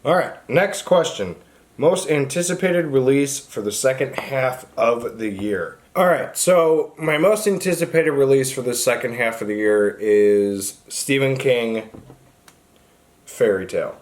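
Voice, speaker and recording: A man's voice: mid-range voice, expressive, measured pace. A man talking casually and informally to viewers as the host of a book review vlog.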